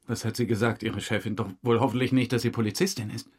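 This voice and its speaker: A man performs an unrepentant male suspect under interrogation in a crime audio drama. His mid-range voice has a marked husky rasp. He talks in a breezy, overfamiliar and evasive manner, pleased with himself. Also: medium pitch, fairly even intonation, fast talker, close to an everyday voice. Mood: evasive, chummy. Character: chummy, unrepentant male murder suspect, evasive and self-satisfied.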